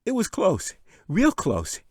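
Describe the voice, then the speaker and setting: Excited, high-pitched boy wizard. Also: slow, husky, no room sound, clean studio sound, full character voice. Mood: excited, boastful. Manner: excited, breathless rush. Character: excitable young boy wizard, bold and boastful.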